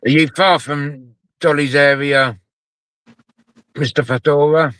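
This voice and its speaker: A man's voice: fairly high pitch, fairly even intonation, slow pace, a bit bright. A man from the United Kingdom hosting a casual live stream, chatting in a laid-back way and laughing often. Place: outdoors or in a car.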